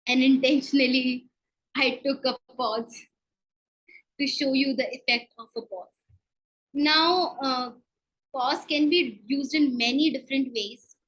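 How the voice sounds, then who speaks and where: A woman coach presenting a lesson in an instructional way, stressing key words emphatically and breaking her phrases with deliberate pauses. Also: high voice, fairly even intonation, a bit bright, low-quality recording. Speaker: public speaking coach, presenter. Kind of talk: instructional talk on public speaking.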